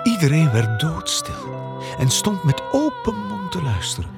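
A narrator telling a scripted children's story in an expressive storytelling style. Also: mid-range voice, unhurried, gravelly. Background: music.

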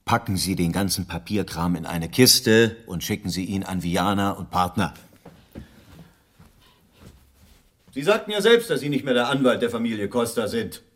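A persistent, tough private detective in a radio crime drama. The voice sits on the low side, and the delivery is terse and pressing. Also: male voice, lively intonation, brisk, hardly any pauses. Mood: cool, pressing. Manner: terse, insistent questioning.

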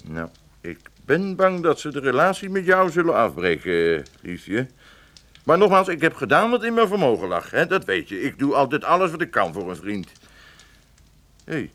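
An actor playing a smug drug smuggler with a falsely affable, patronising manner in a radio play. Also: male voice, mid-range voice, very animated, moderate pace, a bit bright. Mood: smug, falsely friendly. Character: smooth-talking, smug male drug smuggler, falsely friendly. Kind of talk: Dutch radio play acting.

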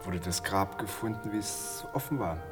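Low-voiced actor as a morbid storytelling man, reverberant. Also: distant mic, over music, natural voice. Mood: sly, hushed. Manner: halting, rambling storytelling with pauses and dry asides. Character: wry, morbid German man telling grisly tales. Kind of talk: radio play acting.

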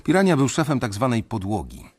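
A young man narrating a radio drama in the first person, measured and brooding. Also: medium pitch, huge pitch swings, darkish tone, husky. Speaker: narrator and main character.

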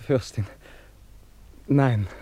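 Mellow, ardent young nobleman. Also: medium pitch, slight background noise. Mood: pleading. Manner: halting, reverent courtly speech. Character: young nobleman huntsman, ardent, devoted to his princess. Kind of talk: classic German radio drama acting.

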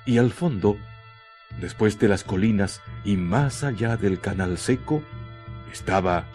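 A male narrator reads a scripted radio drama. His voice sits a little low and moves very slowly and dramatically, over a clear music bed. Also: darkish tone.

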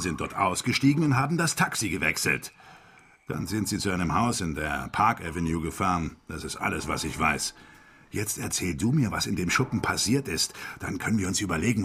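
Gruff, low-pitched streetwise hustler. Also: male voice, a bit bright, slight background noise. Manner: gruff, scolding, sardonic. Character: streetwise, bossy, exasperated hustler brother. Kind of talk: German radio crime drama acting.

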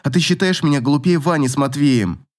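Man voicing an insistent, challenging young mother, slightly raised pitch. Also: fairly quick, darkish tone, natural voice. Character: young single mother, insistent, questioning, sharp-witted. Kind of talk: audiobook romance dialogue.

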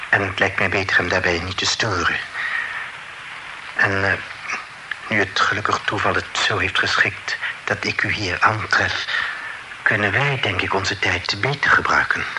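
A stiffly formal young gentleman who stumbles over his words. His voice sits on the low side. There is a clear hiss behind him, as on an aged radio broadcast. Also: measured pace, long pauses. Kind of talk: radio drama acting. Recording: noisy old radio broadcast.

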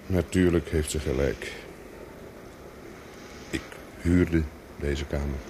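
An actor playing a lonely, brooding man who tells his own story in a radio play, deliberately and slowly. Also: very deep voice, steady tone, noisy background. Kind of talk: radio play monologue and dialogue.

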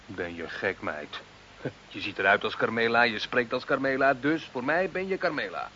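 A man's voice: medium pitch, lively intonation, normal speed, sharp tone. An actor playing a cocky, impatient working-class man in a radio drama, blunt and teasing. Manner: brash, blunt. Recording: dull, noisy old radio recording.

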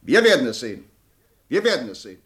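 Give a proud nobleman defending his family's honour. He is formal, stern and indignant, with a mid-pitched voice that rises and falls widely in classical radio drama fashion. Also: very animated, fast, a bit bright. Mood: threatening. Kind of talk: classical radio play acting.

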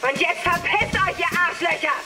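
A woman's voice: very high-pitched, normal speed, over loud music. An actress playing a fragile, volatile female film star in a German radio drama. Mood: frightened, furious.

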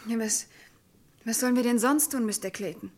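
A woman's voice: a little high, normal speed, close to an everyday voice. Actress as an anxious, insistent office woman. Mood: pleading, urgent. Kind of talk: old German radio crime drama acting.

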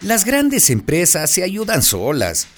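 A man's voice: high-pitched, huge pitch swings, slow pace, music in the background. A man narrating and acting a radio host in a radio drama, explaining in a persuasive way.

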